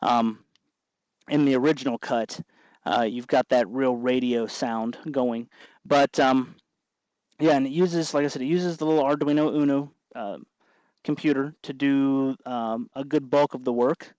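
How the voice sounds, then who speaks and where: Guy doing a hands-on tutorial, average pitch, kinda halting, thinking out loud, dry close sound. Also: fairly even intonation, no room sound, muffled recording. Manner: halting, informal, thinking aloud as he explains. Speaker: host of an electronics tutorial show. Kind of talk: unscripted hands-on tutorial narration.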